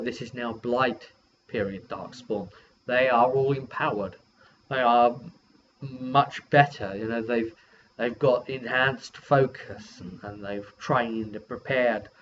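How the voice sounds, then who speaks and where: A man's voice: mid-range voice, a bit bright. A man speaking as a game master, casually rambling through a recap of a session and acting out players' reactions as he goes. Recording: muffled lo-fi with background hiss.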